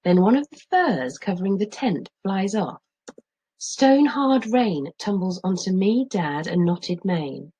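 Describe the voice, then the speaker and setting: Female teacher, middle-aged, for expressive children's story narration; lively pitch movement, lightly husky tone. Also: a little slow. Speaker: teacher reading aloud.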